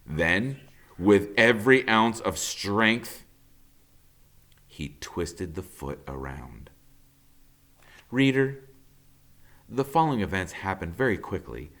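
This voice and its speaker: A man narrates a children's story with theatrical emphasis. His fairly low voice moves very slowly, swinging widely in pitch and pausing for suspense. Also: big pitch swings, fairly bright tone. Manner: theatrical, emphatic, with dramatic pauses. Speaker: teacher reading a children's novel aloud.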